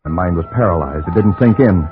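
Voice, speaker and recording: An American actor playing a desperate newspaper reporter, narrating in urgent, hard-boiled radio noir style. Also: male voice, sits low, expressive, a little fast. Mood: grim, anxious. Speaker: lead actor and first-person narrator. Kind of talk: vintage radio noir acting. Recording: dull old radio broadcast with music.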